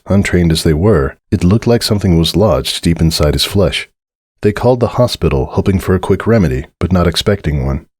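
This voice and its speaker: A low-pitched man narrates fiction in a dramatic, solemn manner. His phrasing is measured and deliberate, and the recording is close and dry. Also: a little mellow, no echo. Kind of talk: dramatic scripted story narration.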